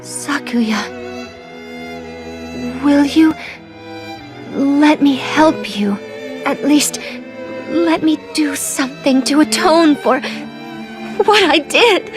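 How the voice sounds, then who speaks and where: A remorseful young soldier girl who talks in a soft, formal, apologetic way. The voice sits very high and moves slowly. Faint game music plays behind her. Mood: earnest. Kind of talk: English game dub.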